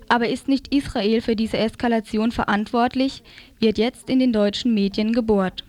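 A woman presenter reads prepared commentary in pronunciation. Her voice sits on the high side, and the sound is dry and close, with little room in it. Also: a little mellow, dry recording. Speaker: radio presenter reading a press commentary. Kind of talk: scripted commentary read aloud.

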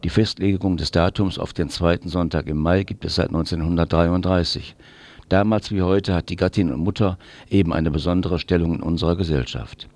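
A man delivers a scripted commentary for morning radio. His voice is very low, and his manner is dry and ironic. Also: steady tone, fairly quick, darkish tone, no room sound. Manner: dryly ironic. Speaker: radio presenter.

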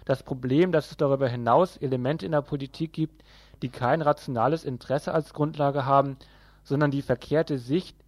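A man reading scripted critical commentary in a measured, formal, analytical manner. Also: medium pitch, darkish tone, no echo.

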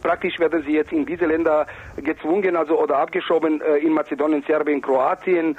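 A man with a high, raspy voice makes an insistent political appeal as a non-native speaker from the former Yugoslavia. He circles back to his points with pleading emphasis. The sound is thin and phone-like. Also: fairly even intonation, brisk. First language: not German. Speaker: Roma activist and interviewee. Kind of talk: impassioned political radio interview. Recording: dull, narrow phone-like radio sound.

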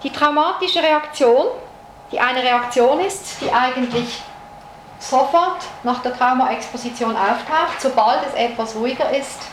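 Female lecturer, Swiss-raised, explanatory, fairly high voice, roomy and noisy recording. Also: unhurried. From Switzerland. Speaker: psychologist giving a lecture. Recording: echoey room recording with noise.

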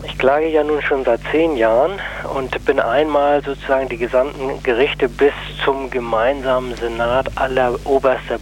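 A man giving a radio interview, explaining his case in a deliberate, hesitant manner. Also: mid-range voice, a little hiss or hum, poor audio. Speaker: interview guest, anti-nuclear plaintiff.

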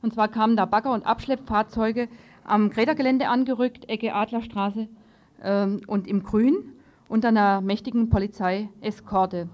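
A woman's voice: mid-range voice, fairly even intonation, normal speed. A woman presenting news live from a radio studio. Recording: dull lo-fi 1980s radio tape.

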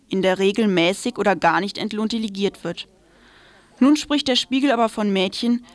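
A woman's voice: mid-range voice, no echo. A woman presenting on radio, reading a scripted commentary aloud.

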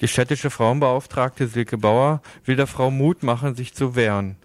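A man with a mid-pitched voice reads an opinion commentary for radio. He is earnest and formal, moving through long written sentences, and the sound is dry with no room on it. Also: unhurried, no echo. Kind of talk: scripted opinion commentary, read out.

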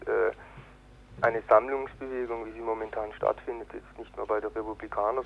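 A man interviewed as an activist, explaining earnestly and haltingly over a noisy, tinny phone-like line. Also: medium pitch. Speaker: political activist being interviewed. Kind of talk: spontaneous spoken interview.

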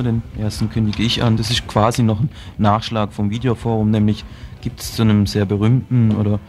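A man's voice: fairly low pitch, a little mellow. A man presents film tips on radio in a matter-of-fact, explanatory way, partly reading aloud.

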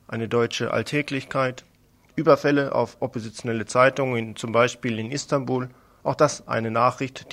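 A man's voice: mid-range voice, fairly bright tone. A man presenting political radio news and commentary in an earnest, pointed way, stumbling over a word now and then.

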